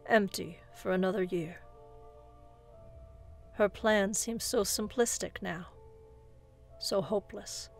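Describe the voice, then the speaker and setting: A woman tells a scripted horror story in a mid-pitched voice. She speaks slowly with dramatic pauses, building suspense, with music underneath. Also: fairly even intonation, creaky. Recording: music bed behind the voice.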